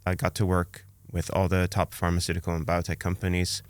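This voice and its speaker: A man's voice: deep voice, completely flat delivery, brisk, smooth, no room sound. A man, telling his own story conversationally as a podcast guest. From Canada, born in Romania.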